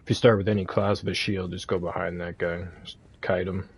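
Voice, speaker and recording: A man's voice: deep voice, flat delivery, brisk, husky. A man giving casual, laid-back gameplay walkthrough commentary as a streamer guiding viewers. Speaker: game streamer and guide host.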